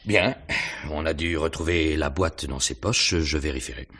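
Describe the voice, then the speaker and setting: An actor playing a probing, pensive police inspector in a French radio mystery, questioning in a hesitant, musing way with little hums and pauses. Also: male voice, rumbling low, a bit bright, breathy edge, full character voice.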